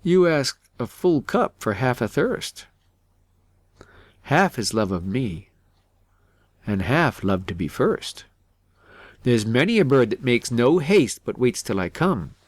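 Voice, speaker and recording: A man voicing a regal, gently rebuking sun king in storybook verse. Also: medium pitch, sing-song, slow pace, pauses often, no room sound, studio quality.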